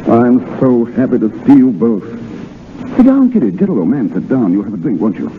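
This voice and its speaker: An actor in a 1930s radio drama playing a reserved war veteran turned writer, halting and evasively cheerful. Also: male voice, medium pitch, big pitch swings, background noise, natural voice. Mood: forced cheer. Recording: muffled old 1930s radio recording, music behind.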